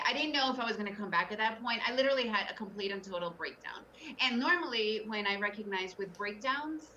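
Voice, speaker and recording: Middle-aged woman telling her story earnestly, bright voice, bit off-mic with faint hiss. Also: mid-range voice, recorded from a distance, poor audio. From Soviet Union, raised in the US. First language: Russian. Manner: earnest, candid, emphatic storytelling. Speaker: guest trader. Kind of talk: personal story in an interview.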